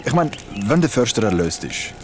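A man acting an excitable, slightly slow-on-the-uptake young friend in an audio drama, hesitant and colloquial. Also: medium pitch, slight background noise, natural voice. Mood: puzzled, casual.

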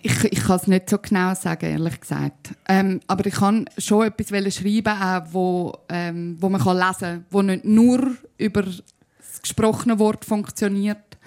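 A woman's voice: medium pitch, unhurried, a little mellow. A young woman with a Zurich Swiss German accent, a spoken-word artist thinking aloud as a guest, restarting and asking rhetorical questions. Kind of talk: casual conversation.

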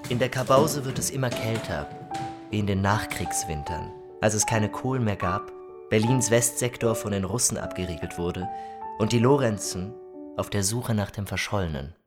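A man with a fairly low voice reads a literary first-person story. He is the narrator, and music plays clearly behind his scripted telling. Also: moderate pace.

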